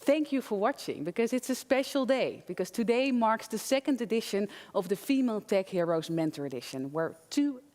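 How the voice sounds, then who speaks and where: Woman, medium pitch, event host, presenting introduction, long reverb of a studio hall. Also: animated, brisk, hardly any pauses, darkish tone. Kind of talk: welcoming introduction at an online event.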